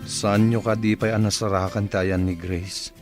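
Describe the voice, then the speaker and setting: A man's voice: fairly low pitch, flat delivery, unhurried, music in the background, lightly acted. An actor playing a stern, questioning man in a radio drama.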